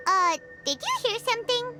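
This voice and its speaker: A woman voicing a tiny, excitable female companion sprite in a video game, chirpy and eager, talking about herself in the third person. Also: very high voice, normal speed, heavily acted. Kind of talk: video game dub acting. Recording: game audio with music underneath.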